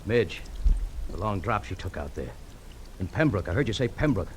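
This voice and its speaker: An actor playing a bitter, hot-tempered stunt pilot in a 1940s radio drama, talking rough, combative slang. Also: male voice, on the low side, big pitch swings, normal speed. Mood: angry, bitter. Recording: old radio recording, slight noise.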